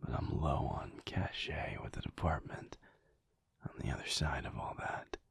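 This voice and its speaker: A man playing a weary, troubled alpha werewolf pack leader who confides in a hushed, halting, intimate audio roleplay monologue. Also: rumbling low, full character voice. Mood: weary, frustrated. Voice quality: hushed, breathy whisper. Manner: slow, halting, pensive.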